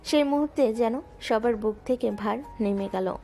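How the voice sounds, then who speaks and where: A woman narrating a romantic audio story in a smooth, even storytelling voice. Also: on the high side, normal speed. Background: soft background music.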